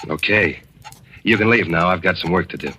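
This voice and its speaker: Cool sardonic american traitor, lowish bright voice, old radio hiss. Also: male voice, fairly quick. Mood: hard-boiled. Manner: cool, hard-boiled, sardonic. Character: cynical American turncoat correspondent. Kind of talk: 1940s radio acting.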